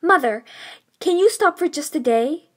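A confident, high-class teenage sister, played by a woman in an audiobook drama. Her voice is high, and she talks in an assertive, sly, teasing way. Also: expressive, unhurried. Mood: exasperated, defending. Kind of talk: audiobook character acting.

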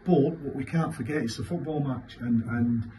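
A man, answering as a football manager after a match in halting, repetitive, emphatic phrases. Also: medium pitch, mellow. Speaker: football manager giving a post-match interview. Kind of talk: post-match interview answers. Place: small indoor club press room. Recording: clearly noisy room ambience.

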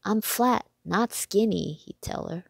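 A woman's voice: mid-range voice, takes it slowly, gravelly, husky, no room sound, full character voice. A woman voicing an earnest, helpful boy in a children's storybook, with emphatic delivery. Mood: matter-of-fact.

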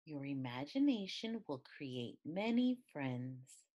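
A woman reading a picture book aloud in an expressive, deliberate way. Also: deep voice, lo-fi sound. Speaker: storybook reader.

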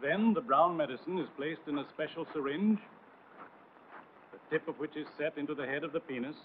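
A man's voice: mid-range voice, a little hiss or hum. Tinny 1940s military training film narrator, male. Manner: authoritative, didactic, emphatic.

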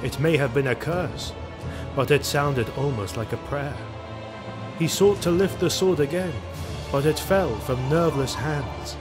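A man's voice: a little high, measured pace. Male narrator, fantasy audio drama: grave, theatrical storytelling, music underneath. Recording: music behind the voice.

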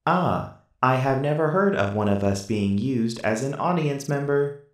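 Man acting a slow, stiff companion android, echoing. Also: medium pitch, expressive, natural voice. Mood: deadpan, obliging. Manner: stiff, formal, cold and robotic. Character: polite, literal-minded male companion android. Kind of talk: ASMR sci-fi roleplay. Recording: roomy echo, distant from mic.

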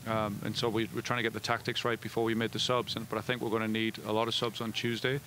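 A man's voice: fairly low pitch, level tone, a bit bright, a little hiss or hum. A middle-aged man, a soccer head coach, answering post-game questions on a headset mic and often restarting his sentences. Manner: fast, with frequent restarts and fillers. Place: indoor media room before a backdrop.

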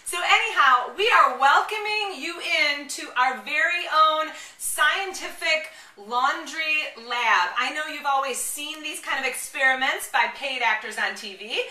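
Excited mom vlogger lady, high voice, chatty demo in an echoey kitchen, mic kinda far away. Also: measured pace, hardly any pauses. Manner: enthusiastic, animated, chatty with children. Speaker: mom blogger presenter. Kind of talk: casual product demonstration vlog. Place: home kitchen, indoors. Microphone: camera a few steps away. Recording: roomy, distant camera microphone.

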